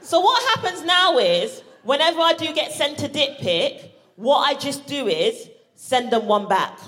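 A woman from Barbados performing a live stand-up comedy set, punchy and playful, shouting out her punchlines. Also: high voice, lively intonation, unhurried. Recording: live stage recording with audible background noise.

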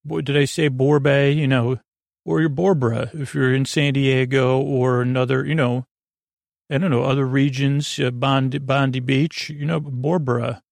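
A man hosting a bedtime podcast in a meandering, halting monologue, drawing out words, wandering into asides and sounding gently silly. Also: mid-range voice, steady tone, gravelly, no room sound. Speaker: podcast host. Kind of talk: rambling bedtime podcast monologue to help listeners sleep.

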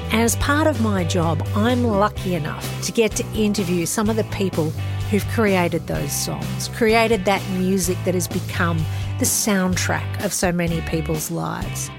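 A woman's voice: lowish voice, unhurried, gravelly, over music. A middle-aged Australian woman hosting a podcast and interviewing, chatty and upbeat. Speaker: host and interviewer.

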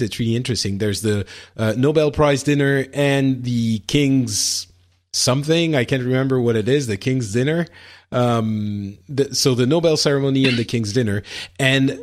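A man co-hosting a casual podcast, asking curious questions and reacting quickly to his co-host. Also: medium pitch.

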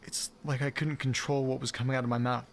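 Casting: male actor for a brooding, bewildered male diarist, mid-pitched, halting and repetitive, faint noise behind him. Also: flat delivery, gravelly, lightly acted. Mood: uneasy, puzzled. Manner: halting, brooding, swearing, repeating words. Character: bewildered, frustrated man recording a confessional audio diary. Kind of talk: audio drama monologue, naturalistic.

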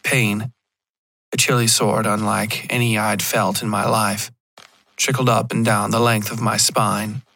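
A man narrating a scripted horror fiction podcast in a measured storytelling style. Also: fairly low pitch, level tone, creaky, sharp tone.

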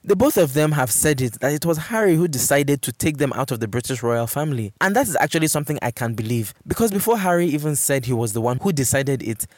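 A man's voice: medium pitch, lively intonation, fast talker, few pauses, a little mellow, dry recording. A man hosting a solo podcast, speaking conversationally and emphatically, repeating words for stress. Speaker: podcast host. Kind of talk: solo podcast monologue, conversational relationship advice and recommendations. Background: music.

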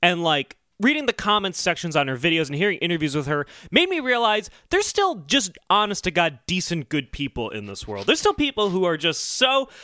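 Male podcast co-host, high and bright voice, casual and earnest, rambling as he explains and defends his points. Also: sing-song, fairly quick, few pauses, no room sound, studio quality. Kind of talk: casual comedic podcast discussion.

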